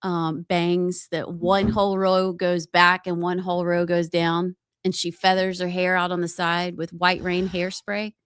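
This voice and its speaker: A woman's voice: on the low side, flat delivery, a little slow, hardly any pauses, fairly bright tone, smooth, no room sound. A woman co-hosting a casual talk show who talks in a blunt, joking, offhand way. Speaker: co-host. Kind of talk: casual chat.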